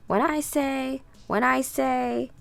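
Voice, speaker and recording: A woman's voice: high-pitched, steady tone, takes it slowly, gravelly, fairly bright tone, dry recording, soft background music, voice pushed up, exaggerated voice. A woman voicing a scheming comic book villain who urgently orders henchmen around in a commanding manner.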